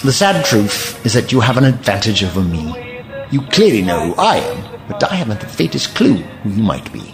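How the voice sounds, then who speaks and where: A man's voice: lowish voice, sing-song, fairly quick, over music. A man playing an eccentric, haughty alien scientist in an audio drama, with pompous, precise formal phrasing. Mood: puzzled, polite.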